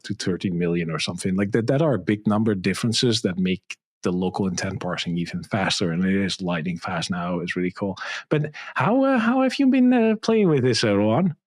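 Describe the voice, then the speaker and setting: A man with a Dutch accent, a podcast guest explaining software in casual, rambling chat with many fillers. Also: lowish voice, no room sound, studio quality. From Netherlands. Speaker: guest developer.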